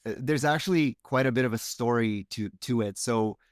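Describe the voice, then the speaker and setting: A man's voice: mid-range voice, moderate pace, dry recording, studio quality. A man from Romania, whose first language is Romanian, speaking as a podcast guest in a frank, reflective, casual interview chat. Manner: frank, reflective, thinks aloud.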